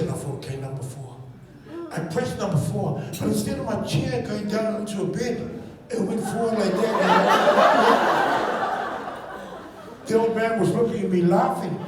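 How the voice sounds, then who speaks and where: High-voiced Samoan New comedian on stage. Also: male voice, distant mic. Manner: animated, acts out his own reactions. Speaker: stand-up comedian. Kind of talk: stand-up storytelling. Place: comedy stage before a live audience. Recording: roomy live venue, mic from afar, crowd laughing. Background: audience laughter.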